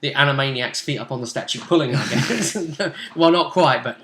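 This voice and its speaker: A man's voice: mid-range voice, moderate pace, a bit bright, a little hiss or hum. A man chatting casually and animatedly as a game master, recapping a tabletop role-playing session. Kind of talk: casual chat. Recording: roomy, echoey room sound.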